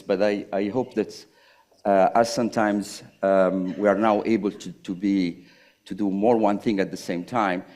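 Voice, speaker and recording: Panel speaker: middle-aged man, slightly low pitch, halting and thoughtful, lots of pauses. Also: darkish tone. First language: Italian. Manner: halting, hesitant, with many pauses and restarts. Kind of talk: reflective public conversation.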